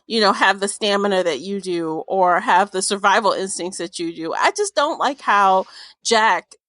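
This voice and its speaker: A woman's voice: mid-range voice, normal speed, fairly bright tone, dry recording. A woman discussing a book casually as a podcast co-host, blunt and opinionated, with informal language.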